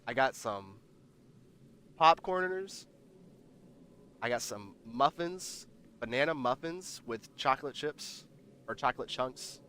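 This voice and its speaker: A man's voice: mid-range voice, lively intonation, slow, long pauses, sharp tone, clean tone. A man hosting a livestream, chatting casually and rambling while reading the chat aloud, congested and nasal. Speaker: livestream host.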